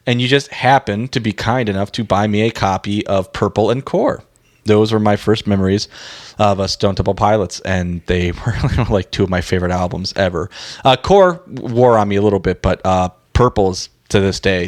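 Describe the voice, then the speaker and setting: A man's voice: on the low side, normal speed, no echo, clean studio sound. A middle-aged man co-hosting a podcast, chatting casually in a laid-back, informal way. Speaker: podcast co-host. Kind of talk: casual chat, reminiscing.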